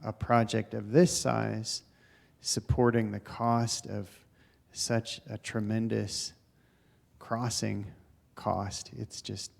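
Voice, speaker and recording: Gravelly flat-voiced male engineer. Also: on the low side, slow pace. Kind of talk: technical explanation at a public meeting. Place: town council chamber.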